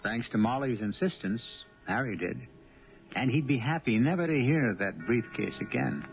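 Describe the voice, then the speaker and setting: A man hosting and narrating a 1970s radio drama in deliberate, dramatic scripted phrasing. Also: medium pitch, animated, vocal fry, narrow, tinny audio. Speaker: host and narrator. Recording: band-limited 1970s radio recording. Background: music.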